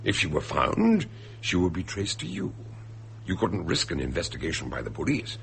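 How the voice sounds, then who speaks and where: Deep, swooping, gravelly detective. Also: male voice, wildly swooping pitch, fairly bright tone, a little hiss or hum, exaggerated voice. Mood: accusing. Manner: deliberate, theatrical, emphatic phrasing. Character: eccentric, shrewd male detective calmly expounding a murder. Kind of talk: radio drama acting.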